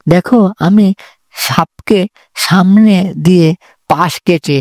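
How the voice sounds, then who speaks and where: A man playing a patient, encouraging uncle in a children's cartoon, speaking in a measured, instructive and reassuring way. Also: sits high, no echo, clean studio sound. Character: patient, encouraging uncle mentoring his young nephew. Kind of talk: children's moral-story cartoon dialogue.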